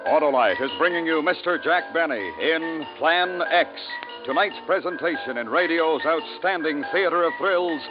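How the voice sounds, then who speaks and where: A male announcer introduces a vintage radio program with formal drama. His voice is fairly high, with prominent music behind it and a muffled sound. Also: normal speed. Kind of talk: 1950s scripted program introduction.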